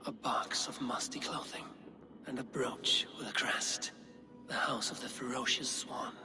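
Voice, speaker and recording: An actor playing a stern warrior investigator in a video game, speaking tersely and matter-of-factly. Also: male voice, mid-range voice, fairly even intonation, measured pace, pushed and bright, faint music behind. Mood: probing, sombre. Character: stern, blunt male warrior investigator, adult. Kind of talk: dramatic video game acting. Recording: long hall-like reverb.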